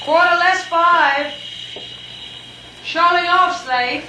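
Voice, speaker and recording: An actor playing a boat hand chanting depth soundings in a drawn-out singsong, in old-time radio drama style. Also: male voice, extremely high pitch, heavily acted. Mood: calling out. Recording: distant, echoing, very noisy old radio.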